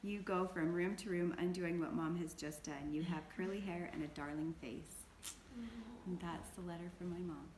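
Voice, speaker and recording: A woman in her forties with a slightly low voice, speaking informally and reading aloud. The room adds a clear echo, and there is faint noise behind her. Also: fairly even intonation, moderate pace, darkish tone. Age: 40s. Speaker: interview guest sharing her mother's letter. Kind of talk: casual chat and reading a letter aloud.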